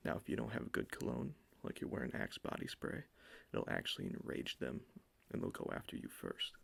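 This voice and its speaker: A man doing an ASMR roleplay in a soft, mostly whispered, gravelly voice, close to the mic. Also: a bass voice. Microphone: close sensitive ASMR microphone.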